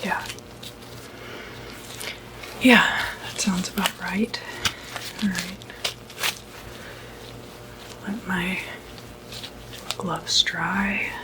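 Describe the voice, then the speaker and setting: A woman playing a calm, quietly puzzled doctor in an ASMR medical roleplay, whispering breathily and hesitantly. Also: on the low side, background noise. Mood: calm, businesslike. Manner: hesitant, halting, murmured asides. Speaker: ASMR performer.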